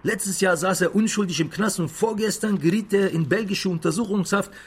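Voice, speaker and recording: Husky, high-pitched, excitable young sidekick. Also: male voice, brisk. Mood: excited. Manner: slangy street talk, muddles long words. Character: excitable young male taxi driver, loyal chatty sidekick. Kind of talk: modern radio drama acting.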